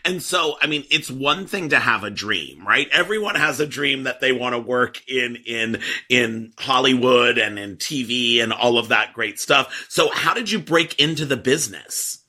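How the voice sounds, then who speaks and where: A man's voice: mid-range voice, animated, moderate pace, few pauses, pushed and bright. A man interviewing a guest, asking friendly, curious questions.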